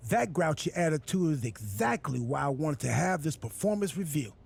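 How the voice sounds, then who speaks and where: Video game role: quirky, self-important wrestling boss, husky and heavily gravelly, unbothered and rambling. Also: male voice, a little high, very animated, moderate pace, full character voice. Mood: upbeat, self-important. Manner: rambling, absurdly literal, unbothered by insults. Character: quirky, oblivious wrestling general manager, cheerfully self-important man.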